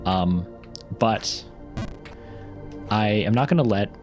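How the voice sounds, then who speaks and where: A man's voice: fairly low pitch, takes it slowly, a little mellow, soft background music. A man chatting casually while playing a game, rambling with frequent ums and restarts and occasional laughs. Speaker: gaming YouTuber and novelist.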